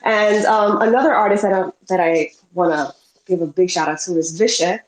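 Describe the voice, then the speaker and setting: A woman with a stuffy cold chatting casually as a guest artist on an online call, often pausing to clarify herself. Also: fairly low pitch, steady tone. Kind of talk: casual chat. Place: live online audio call from New York. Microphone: headset. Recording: roomy, echoing online call.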